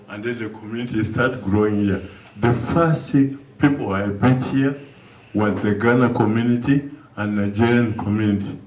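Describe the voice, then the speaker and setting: An older man from Uganda, speaking earnestly to a community gathering in halting, restarting sentences. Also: on the low side, a little mellow, a little hiss or hum. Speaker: guest speaker. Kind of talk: community speech. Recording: echoey room from far off.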